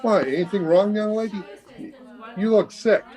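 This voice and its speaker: Woman acting a mellow, low-voiced older gossip. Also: moderate pace, close to an everyday voice. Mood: concerned, nosy. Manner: chatty, gossipy. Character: gossipy older hotel guest, fussy and nosy. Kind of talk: 1940s radio drama acting. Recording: faint chatter behind, video-call performance.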